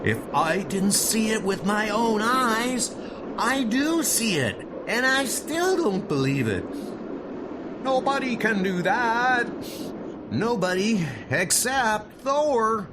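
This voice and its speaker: A man voicing an astonished, excitable city bystander in a comic book dub, exclaiming in awe. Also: high-pitched, takes it slowly, noisy background, pitched above the performer's own voice, heavily acted. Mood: amazed, relieved.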